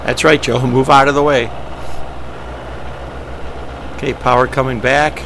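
Guy with a gravelly voice talking thru flying steps, matter of fact, engine noise behind him. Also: mid-range voice, fairly quick. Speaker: pilot presenting ski flying. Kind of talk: instructional narration, explaining step by step. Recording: steady engine and vehicle noise.

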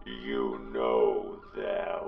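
Menacing robot alien, electronic modulation, extremely low gravelly tone, staccato commands, audiobook villain. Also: male voice, fairly even intonation, echoey room, muffled recording, heavily acted. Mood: cold, domineering. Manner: slow staccato, barking, booming commands. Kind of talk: audiobook character voice.

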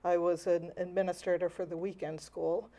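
An older woman speaking as a guest in a studio interview, soft-spoken and reflective. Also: fairly low pitch, level tone, normal speed. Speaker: guest, volunteer. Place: TV studio set.

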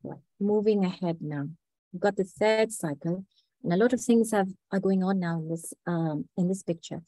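A woman with a hoarse voice, recovering from flu, presents a medical case over a video call at a measured pace, with frequent hesitations. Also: fairly low pitch, dark tone, dry recording, low-quality recording. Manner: measured, methodical, frequent "uh" fillers. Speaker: clinical research fellow presenting a case. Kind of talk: online medical lecture.

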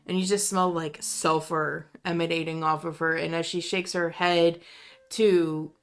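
Female game master, lowish voice, unhurried dramatic narration for a tabletop fantasy game. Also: flat delivery, a bit bright, clean tone.

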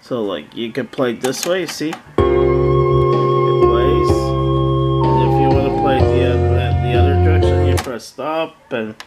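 Halting man demonstrating, unhurried pace. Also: mid-range voice, animated, over loud music. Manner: halting, repetitive, plain-spoken. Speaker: online seller demonstrating an item. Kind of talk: casual product demonstration. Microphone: handheld camera, speaker off screen behind it.

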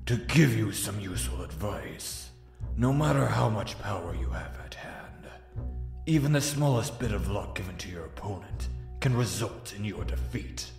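Evil shadow hedgehog villain, low creaky voice, taunting and drawn out, echoey. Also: male voice, animated, darkish tone. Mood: smug menace. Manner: slow, menacing, taunting, theatrical delivery. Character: sinister, gloating dark shadow hedgehog villain, male. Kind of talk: scripted fan comic dub. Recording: echoey voice over background music.

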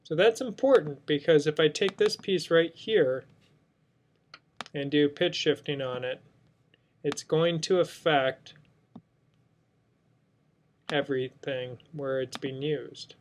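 A man's voice: on the high side, slow, long pauses, slight background noise. A man narrating an unscripted screen tutorial as an instructor, explaining things step by step. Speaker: software instructor. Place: indoors, screen recording voice-over.

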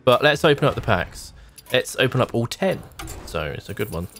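Male game streamer, thirties, medium-pitched, casual musing commentary recorded at home over background music. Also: very animated, moderate pace, fairly bright tone. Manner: musing, thinking aloud in short remarks. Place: small home room, indoors.

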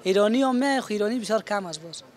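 A man's voice: high-pitched, lively intonation. A young man with an Afghan Dari accent, answering informally as an interviewed shopkeeper into a reporter's handheld microphone. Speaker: electronics shopkeeper being interviewed. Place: open-fronted electronics shop on a market street. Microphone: reporter's handheld microphone held toward him.

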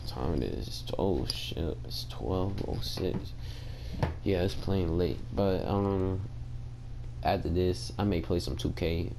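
A tired young man with a gravelly voice, chatting casually to his viewers in laid-back slang while commenting on his gameplay. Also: low-pitched, slow, noisy background. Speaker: gaming channel host. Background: game music.

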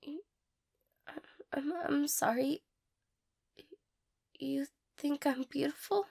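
A young woman playing a shy, insecure, tearful girl in an intimate romantic audio roleplay, hesitant, stammering and apologetic, with a breathy, creaky voice. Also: sits high, fairly even intonation, slow pace, darkish tone, exaggerated voice. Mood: self-doubting, hesitant.

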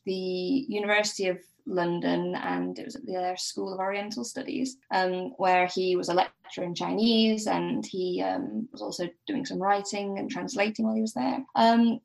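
A woman's voice: mid-range voice, steady tone, normal speed, vocal fry, few pauses, low-quality recording. A woman speaking as a podcast guest, explaining things informally and tentatively, piecing facts together aloud.

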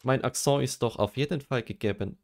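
A man's voice: medium pitch, fairly quick, darkish tone, a little hiss or hum. A young man streaming a game, chatting casually and joking while he reads quiz questions aloud and muses over his answers. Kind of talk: casual livestream chat while gaming.

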